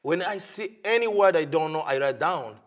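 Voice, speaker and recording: A man from Africa, a pastor answering interview questions earnestly in halting, learned English. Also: on the high side, expressive. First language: not English. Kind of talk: TV interview guest.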